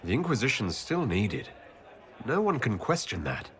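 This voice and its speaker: An actor playing a composed, courteous elven leader in a video game, speaking politely with dry wit. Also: male voice, medium pitch, very animated, moderate pace, a faint crowd, lightly acted. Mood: calm. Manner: polite, wry. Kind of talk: video game dialogue acting.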